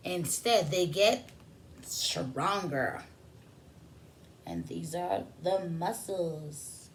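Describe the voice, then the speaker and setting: A woman's voice: medium pitch, slight background noise. A woman reading aloud to young children as a preschool teacher, explaining as she goes and posing simple questions. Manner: instructional, asks questions and pauses for answers. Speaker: preschool teacher hosting story time. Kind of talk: read-aloud story time for young children with teaching asides.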